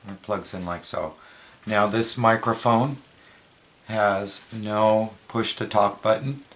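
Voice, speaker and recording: A man's voice: on the low side, takes it slowly, a bit bright, slight background noise. A man giving a plain, matter-of-fact, step-by-step hands-on product demonstration. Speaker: product reviewer, presenter.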